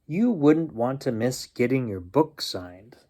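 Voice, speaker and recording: A man voicing a kindly, patient guardian in a children's storybook, gently instructive. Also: medium pitch, sing-song, takes it slowly. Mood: gently cautioning.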